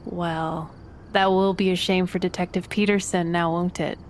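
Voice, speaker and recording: A woman playing a poised, menacing con woman in an audio drama, speaking in a formal, measured, taunting manner. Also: lowish voice, little pitch movement, slight background noise, natural voice. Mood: coolly taunting.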